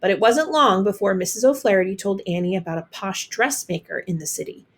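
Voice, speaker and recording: A woman reading a children's picture book aloud as an expressive storyteller. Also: medium pitch, brisk, a little hiss or hum.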